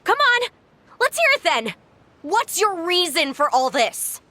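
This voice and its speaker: A woman's voice: extremely high pitch, very animated, unhurried, sharp tone, dry recording, exaggerated voice. An actress playing a defensive young girl in a video game, protesting and throwing accusations back. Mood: bitter, accusing.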